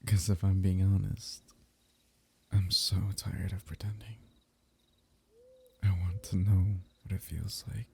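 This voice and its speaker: A young man speaking softly and intimately in an ASMR roleplay, teasing and sometimes dropping into a whisper. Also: sits low, level tone, slow, vocal fry, pauses often, husky.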